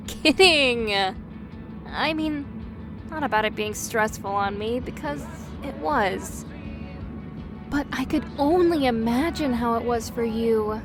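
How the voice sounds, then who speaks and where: A woman acts as a young, caring best friend in an interactive audio drama. Her voice sits on the high side, chatty and playfully teasing, often hesitating and correcting herself. Music plays clearly behind her. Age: young adult. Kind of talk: interactive audio roleplay acting.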